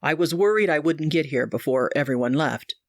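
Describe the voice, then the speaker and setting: A woman voicing an earnest young male doctor who speaks low, grave and pleading. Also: expressive, brisk, no room sound, studio quality. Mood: relieved.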